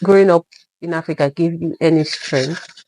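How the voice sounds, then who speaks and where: A woman's voice: deep voice, normal speed, dark tone, low-quality recording. A woman hosting a conversational interview, reacting often and chiming in on her guest. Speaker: podcast host interviewing a guest.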